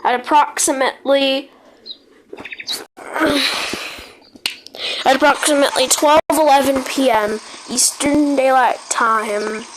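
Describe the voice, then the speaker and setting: A woman with a high voice narrates in an unpolished, homemade style. She takes her time and often stumbles back over words and phrases. The recording is clearly noisy, with clicks. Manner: halting, repeats words and phrases. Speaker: video creator narrating a made-up emergency alert scenario. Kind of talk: amateur emergency alert read-out mixed with casual gameplay chat.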